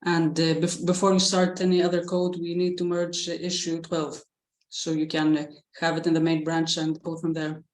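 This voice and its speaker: A young adult facilitating a casual work meeting on a video call, speaking hesitantly with pauses. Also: medium pitch, completely flat delivery. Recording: roomy echo on video call.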